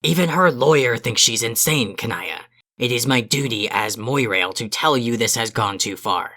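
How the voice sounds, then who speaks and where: A man's voice: medium pitch, expressive, normal speed, pushed and bright. A man playing an irritable, bossy young alien in an audio drama, blunt, emphatic and sardonic. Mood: scolding, sardonic.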